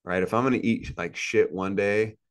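A man in his thirties speaks from a room on a video call, his voice sitting low and deep. He talks in an earnest, motivational way, circling back and repeating his points. It is relaxed interview talk. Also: normal speed, smooth. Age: 30s. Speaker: podcast guest. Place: indoor room with a plant and a couch.

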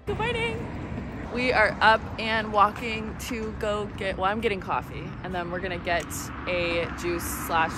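A woman's voice: mid-range voice, lively intonation, a little slow. A young woman vlogging casually on a phone held at arm's length, chatty and bubbly, often saying "like". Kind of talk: casual vlog chat. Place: outdoors at a festival and a hotel. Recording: loud music and crowd behind.

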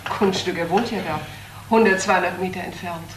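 A woman's voice: fairly quick, distant mic, natural voice. Woman playing proud doctor aunt, stern, curt, crime drama, background noise. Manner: curt, authoritative. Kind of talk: German crime drama acting. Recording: background noise, car rumble in places.

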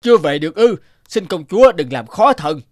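A man's voice: sits high, lively intonation, fairly quick, no echo, clean studio sound. A man voicing a dutiful celestial guard in a cartoon, firm, formal and insistent.

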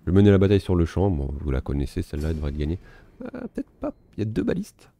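A man giving relaxed, self-mocking commentary while playing a strategy game, thinking aloud. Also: low voice, very fast, creaky. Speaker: gaming video host. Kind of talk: casual let's-play commentary.

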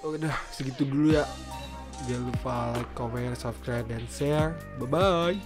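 A man's voice: mid-range voice, normal speed. A man in his twenties with an Indonesian accent, chatting casually with strangers on video as a streamer. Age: 20s. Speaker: amateur singer and streamer. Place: small bedroom studio indoors. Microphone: large microphone on a stand close by. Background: guitar and background music.

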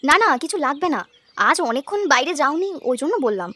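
A woman's voice: high voice, very animated, fast talker, sharp tone, dry recording, exaggerated voice. A woman playing a caring young wife and daughter-in-law in a cartoon, sweet and pleading. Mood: caring, concerned. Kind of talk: cartoon voice acting, domestic moral story.

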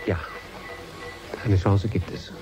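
An actor playing a grave professor in a radio drama, explaining in a precise, formal, deliberate way. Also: male voice, low-pitched, steady tone, over music, poor audio. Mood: grave, explanatory. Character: grave male professor explaining a risky project. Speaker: scientist.

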